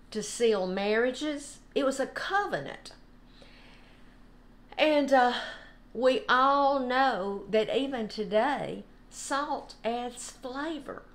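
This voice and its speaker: Slow-talking older woman. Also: a little high. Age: older, about 70s. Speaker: devotional host. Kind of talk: informal devotional talk. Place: home kitchen, indoors.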